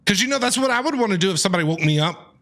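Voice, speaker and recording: A man's voice: high-pitched, expressive, very fast, a bit bright. A man casually reacting to a game as a streamer, chatty and jokey. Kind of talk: casual live reaction commentary.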